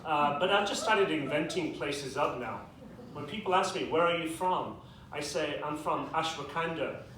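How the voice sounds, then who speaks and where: A young man with a British accent doing a stand-up comedy set in a club with a live audience, delivering dry, self-deprecating punchlines with quick asides. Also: a little high, a crowd in the background. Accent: British English. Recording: echoey club, distant mic, audience noise. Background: audience laughter.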